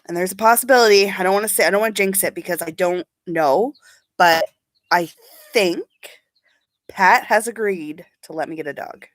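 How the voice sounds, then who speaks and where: A woman's voice: medium pitch, moderate pace, no room sound. A young woman chatting casually on a livestream, sniffly from allergies and rambling as she answers comments. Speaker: beauty vlogger.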